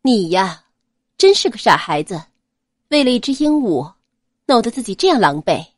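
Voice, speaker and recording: A woman playing a gentle, composed young noblewoman and fond older sister in an audiobook, soft-spoken. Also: on the high side, very animated, normal speed, slightly rough, no room sound, clean studio sound. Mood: fond scolding. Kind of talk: dramatized audiobook character acting.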